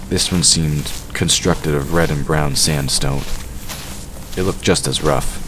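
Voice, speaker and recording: A man's voice: very deep voice, background noise. A man reading scripted narration for a fantasy audio drama.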